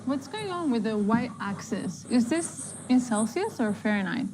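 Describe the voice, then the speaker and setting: A woman with a slightly high, soft and mellow voice hosts a live demo. She speaks conversationally, as to an audience. A little background noise comes with the recording. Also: sing-song, measured pace. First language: Italian speaker. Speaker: presenter of a live product demo. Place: live staged demo before an online audience.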